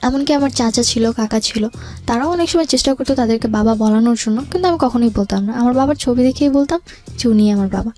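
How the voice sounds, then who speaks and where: A young woman with a fairly high voice and a Bangladeshi accent shares a personal story in an interview. She speaks hesitantly and slips in English words. Music plays behind her. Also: quick pace, a little mellow. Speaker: guest telling life story.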